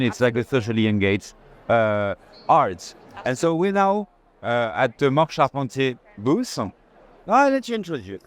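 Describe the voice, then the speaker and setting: A man giving a live curator's tour at an art fair, speaking haltingly and restarting phrases mid-thought. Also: mid-range voice. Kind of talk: live walking tour conversation.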